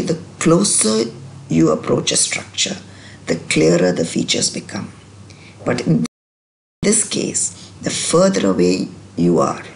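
Low-voiced woman narrating a travel video. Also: creaky, darkish tone, a little hiss or hum, low-quality recording.

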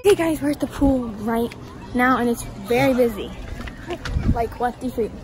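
A woman's voice: fairly high pitch, normal speed. A teenage girl chatting casually to her vlog on a phone held at arm's length. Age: teens. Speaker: vlogger. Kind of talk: casual chat. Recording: noisy handheld phone vlog.

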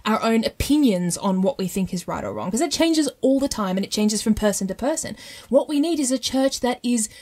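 A woman hosting a podcast, explaining things in a casual, animated storytelling way with frequent rhetorical check-ins. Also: on the high side. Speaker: podcast host.

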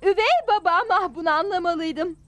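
A woman's voice: extremely high pitch, a little fast. A woman voicing a feisty, melodramatic young heiress in distress in a dubbed cartoon. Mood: indignant. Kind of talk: Turkish-dubbed cartoon acting. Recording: loud music under the voice.

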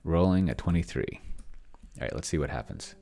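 A middle-aged man thinking aloud casually at his desk, halting and murmuring as he types and reads, close to the microphone. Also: very deep voice, big pitch swings, creaky, mellow. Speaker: host of a solo roleplaying experiment stream. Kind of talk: casual thinking aloud while typing and reading from screen. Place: indoor home room. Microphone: desk microphone close to the mouth.

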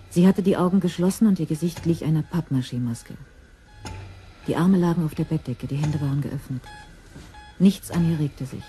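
Guy narrating a crime radio play, really mellow dark tone, soft music under him. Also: a little high.

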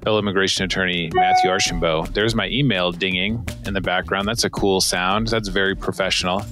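Male podcast host, lowish bright voice, jokey and chatty, dry close mic. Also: fairly even intonation, brisk, soft background music. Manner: joking, laughs often, chatty. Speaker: podcast host, immigration attorney. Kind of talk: casual podcast chat.

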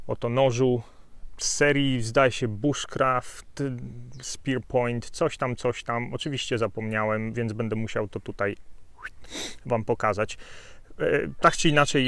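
A man in his thirties from Poland, reviewing a bushcraft knife in a relaxed, chatty way with fillers and asides, recorded outdoors on a hillside with a lapel mic. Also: medium pitch. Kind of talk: casual outdoor gear review.

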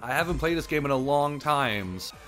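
A man's voice: fairly high pitch, lively intonation, fairly quick, fairly bright tone, soft background music. A young man whose first language is Japanese, chatting casually and mocking himself while he plays a puzzle game for his channel. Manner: rambling, self-mocking, chatty. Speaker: gaming channel host. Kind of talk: casual gameplay commentary.

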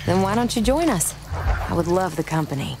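A woman's voice: lowish voice, big pitch swings, fairly quick, noisy background. An actress playing a friendly, spirited young woman of the frontier in a western video game. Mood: grateful. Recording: music behind the voice.

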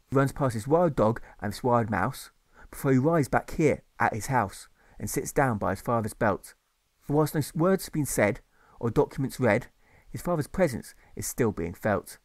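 Man reading rhyming verse, lively. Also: mid-range voice, dry recording. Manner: rhythmic, sing-song verse reading. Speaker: author reading his own novel. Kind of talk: rhyming audiobook narration.

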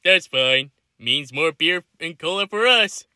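Man as easygoing cartoon father, beer lover. Very forceful, sharp tone, lively pitch, offhand delivery. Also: a little slow, no room sound, studio quality, exaggerated voice. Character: laid-back, beer-loving middle-aged cartoon dad. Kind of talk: fan-made cartoon voice acting.

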